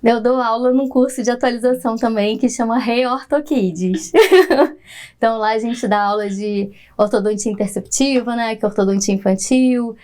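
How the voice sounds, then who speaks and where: A woman's voice: a little high, moderate pace, darkish tone. A woman, a pediatric dentist explaining her work in a podcast interview, patiently and with frequent laughter.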